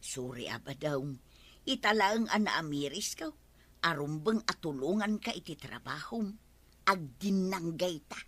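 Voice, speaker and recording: An actress playing an exasperated farmer's wife in an Ilocano radio comedy drama, with an Ilocano accent. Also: female voice, deep voice, expressive, measured pace, fairly bright tone, slightly rough, exaggerated voice. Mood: earnest.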